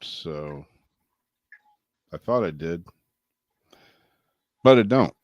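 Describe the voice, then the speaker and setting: A very low-pitched man chats casually on a vlog, dropping dry, wry asides between stories. The sound is close and dead, with no room echo at all. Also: expressive, very slow, darkish tone, lo-fi sound. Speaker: co-host. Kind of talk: casual vlog chat reading news aloud.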